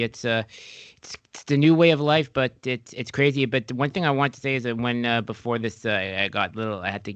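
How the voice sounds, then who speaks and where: A man's voice: medium pitch, fairly bright tone, smooth, dry recording. Chatty male podcast host, dry sound. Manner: chatty and rambling, with many fillers and restarts. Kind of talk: casual interview.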